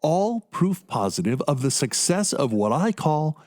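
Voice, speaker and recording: A zealous medical researcher played by a male actor in a radio play. His voice sits a little high and swings widely in pitch as he proudly explains his inventions with theatrical emphasis. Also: sing-song, a little slow, darkish tone. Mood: proud, showy. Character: zealous, boastful male doctor pitching experimental prosthetics.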